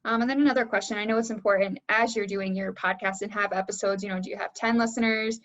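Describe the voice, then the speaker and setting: A woman's voice: medium pitch, steady tone, fast talker, fairly bright tone, dull audio. A woman moderating a panel, putting questions to guests in a conversational way.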